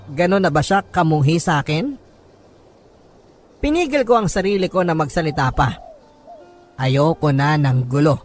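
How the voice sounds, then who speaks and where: A man with a Filipino accent reading a first-person story as a radio drama host, in an emotive, sorrowful storytelling manner. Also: a little high. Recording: music bed under the voice. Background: background music.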